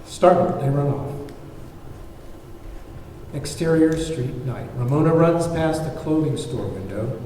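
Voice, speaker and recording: A man narrates a screenplay's scene directions at a table read. His voice is mellow and medium in pitch. He is distant from the mic in a heavily reverberant room with faint noise. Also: a little slow, pauses often. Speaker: narrator reading stage directions. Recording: very echoey hall, far mic, faint noise.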